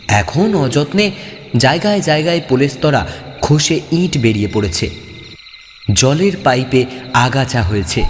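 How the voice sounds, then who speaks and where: Guy narrating a spooky story, dramatic n suspenseful, pitch moves a lot, big hall reverb, music behind. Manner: suspenseful, expressive. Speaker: narrator of a horror audio story. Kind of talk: dramatic scripted first-person narration. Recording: long hall reverb, clear music bed.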